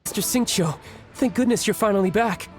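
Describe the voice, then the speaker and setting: A man's voice: high-pitched, brisk, faint music behind, close to an everyday voice. Earnest, deferential male guild servant played by an actor. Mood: worried. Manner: respectful, earnest. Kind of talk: video game character acting.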